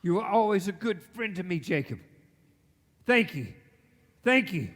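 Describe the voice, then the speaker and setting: In a ringing theatre, a man plays a querulous old male miser in a radio play. The voice is high and very animated, hesitant and fearful yet grumbling. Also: unhurried, a little hiss or hum. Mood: pleading. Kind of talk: live radio play acting. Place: theatre stage, live performance at featured mics. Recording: echoey theatre stage, long reverb.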